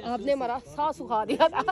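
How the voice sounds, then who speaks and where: An excitable young man with a notably high voice, talking straight into his phone on a mountain roadside. Chattering people and passing traffic fill the outdoor phone audio around him, and he yelps loudly whenever something startles him. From Pakistan. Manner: excitable, squeals and shouts when frightened. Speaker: vlogger. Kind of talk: casual travel vlog narration. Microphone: phone held at arm's length.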